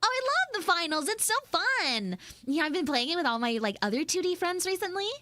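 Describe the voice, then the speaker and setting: A woman voicing a bratty, dramatic tsundere anime girl in a live improvised audio roleplay, theatrical and whiny, with drawn-out words. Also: extremely high pitch, big pitch swings, sharp tone, no echo, exaggerated voice. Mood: playful, chatty. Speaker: streamer, voice actor.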